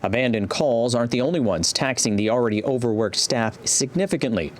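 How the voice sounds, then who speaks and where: A man's voice: mid-range voice, lively intonation, fairly quick, a little hiss or hum. A man with an American accent reading scripted narration for a TV news report.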